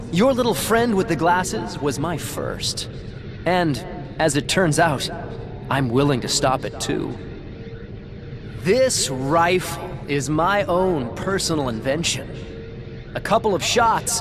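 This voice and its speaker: A smug, theatrical game villain, with a raised pitch that swoops around over a musical score. He is a pompous executive who draws out his taunts slowly. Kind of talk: video game cutscene acting.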